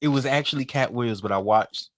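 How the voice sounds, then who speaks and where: A man co-hosting a podcast in casual chat, excitable and repeating himself. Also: mid-range voice, fairly quick. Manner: excitable, repeats himself, talks over others. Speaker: podcast co-host.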